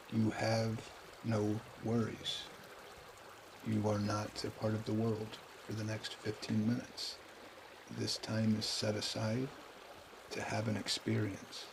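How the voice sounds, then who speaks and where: A man guides a meditation with a calm, even voice that barely rises or falls. He speaks very slowly in short, spaced phrases, with a gravelly creak. Soft water trickles faintly behind him. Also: lowish voice, noisy background. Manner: calm, unhurried, pausing between short phrases.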